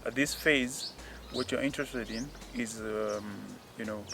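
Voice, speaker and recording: A man explaining a technical process outdoors in a hesitant, measured way, with many fillers. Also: mid-range voice, steady tone, creaky, sharp tone, slight background noise. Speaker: guest expert. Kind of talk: casual explanatory interview. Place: outdoors, windy.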